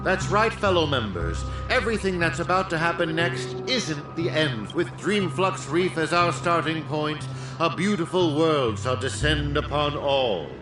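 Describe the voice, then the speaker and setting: Echoing, high-toned grandiose cult leader. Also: male voice, animated, a little slow. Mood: solemn, grandiose. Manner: ceremonious, preaching. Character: solemn, grandiose philosophical cult leader villain. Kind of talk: video game character acting. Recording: echoey and distant, loud music behind.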